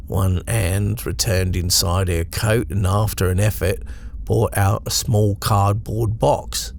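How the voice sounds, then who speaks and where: British man, scary story narration, unhurried, gravelly, reflective, faint background ambience. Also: on the low side, darkish tone, a little hiss or hum. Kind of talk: first-person horror story narration.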